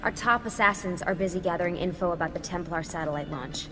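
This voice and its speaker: A woman's voice: fairly low pitch, natural voice. Businesslike woman team leader played by an actress, echoing. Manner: matter-of-fact, brisk. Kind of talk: video game voice acting. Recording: echoey hall, music behind.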